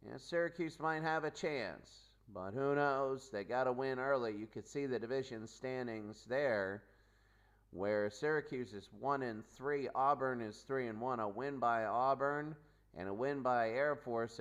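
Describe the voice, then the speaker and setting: Male sports host, mid-pitched and unhurried, talking through matchups off the cuff and circling back over his points. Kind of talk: unscripted sports pregame commentary.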